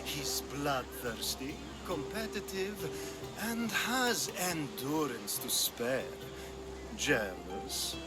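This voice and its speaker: Witty hedonist noble in a game, drawn-out teasing delivery, music behind. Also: male voice, medium pitch, expressive. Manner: languid, teasing, theatrical. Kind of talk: video game character acting.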